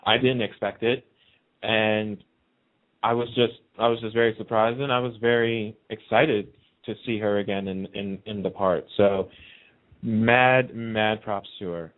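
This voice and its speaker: A man's voice: fairly low pitch, steady tone, a little slow. A man chatting casually as a co-host on a radio talk show. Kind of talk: casual chat. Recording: narrow phone-like internet radio line.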